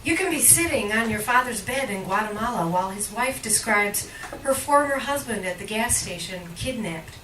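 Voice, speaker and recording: A woman giving a live poetry reading in measured, deliberate phrases with emphatic line breaks, recorded indoors at a cafe. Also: mid-range voice, a bit bright. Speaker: poet, featured reader at a poetry reading. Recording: roomy, distant live recording with faint noise.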